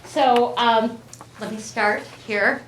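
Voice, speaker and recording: A woman's voice: on the high side, steady tone, slow pace, a little hiss or hum. A woman giving an enthusiastic address at a school board meeting, introducing her colleagues one by one. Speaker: teachers' union president. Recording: echoey room from far off.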